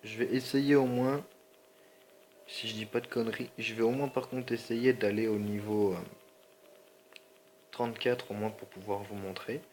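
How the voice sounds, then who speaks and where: A man's voice: fairly low pitch, steady tone, moderate pace, long pauses, darkish tone, a little hiss or hum. A man with a slightly sore throat and a stuffy nose, rambling in slangy, apologetic commentary over his gameplay. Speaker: gaming channel host.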